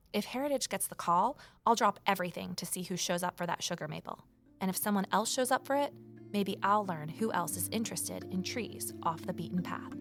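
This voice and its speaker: A woman's voice: medium pitch, a bit bright, close to an everyday voice. A woman playing an earnest, observant office assistant turned amateur sleuth, narrating and speaking in an audio drama. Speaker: narrator and lead character. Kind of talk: first-person audio drama narration and dialogue.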